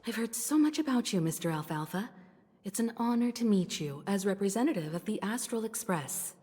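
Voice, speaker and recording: A woman's voice: mid-range voice, expressive, a little fast, mellow, husky. An actress playing a gracious, dignified female navigator and envoy in a video game, speaking politely and formally. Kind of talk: scripted video game voice acting.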